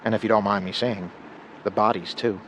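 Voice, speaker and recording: An actor playing a smooth-talking, flirtatious predator who poses as a photographer in a true crime radio drama. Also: male voice, on the low side, fairly even intonation, brisk, a bit bright, slight background noise, lightly acted, rough recording. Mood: coaxing, flirtatious. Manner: flirtatious, coaxing, self-assured.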